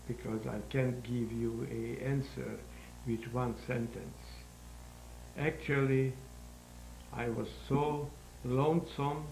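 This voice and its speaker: A man in his nineties with a Hungarian accent, giving halting, deliberate interview answers about his own life in non-native English. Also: mid-range voice, darkish tone. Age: 90s. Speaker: interview guest, sculptor. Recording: roomy echo, faint background noise.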